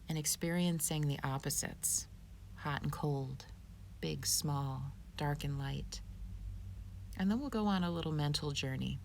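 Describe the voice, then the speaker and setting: A woman teaching yoga, giving guided meditation instruction in a calm, soothing, unhurried manner. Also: sits low, slight background noise.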